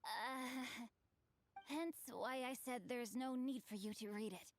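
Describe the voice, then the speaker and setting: A performer voicing a young, bookish male swordsman who speaks formally, politely and with a measured, courtly manner. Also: very high-pitched, a little hiss or hum. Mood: composed. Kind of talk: game voice acting.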